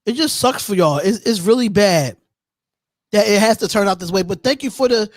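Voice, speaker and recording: A man's voice: high-pitched, lively intonation, fairly quick, no echo, studio quality. A man hosting a casual live sports call-in stream, talking in slangy, repetitive phrases. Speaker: host of a sports call-in show.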